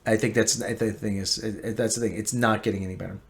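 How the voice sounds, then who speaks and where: A man giving an opinionated, emphatic TV review rant, repeating himself and swinging between loud outbursts and low grumbling. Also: steady tone, fast talker, creaky. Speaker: online pop-culture commentator.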